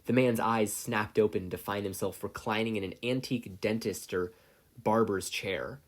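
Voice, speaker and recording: A man's voice: on the low side, steady tone, moderate pace. A man reading scripted fiction aloud as a story narrator. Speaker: podfic reader.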